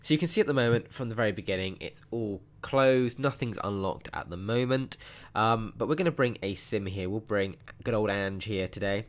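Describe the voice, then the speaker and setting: A deep-voiced young man, croaky and hoarse from a sore throat. He keeps it chatty and upbeat as he walks through a mobile game, filling gaps with ums. Loose, friendly commentary. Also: fairly quick. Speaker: mobile game channel host. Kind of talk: casual gameplay walkthrough commentary.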